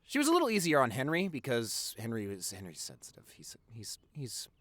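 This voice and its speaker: Male actor as teasing boyfriend, swooping expressive pitch, medium register, close dry sound, gushing and rambling, audio roleplay. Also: dry recording. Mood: animated storytelling. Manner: rambling, gushing, halting with repeated words. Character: teasing, doting, silly popular boyfriend, freshly woken.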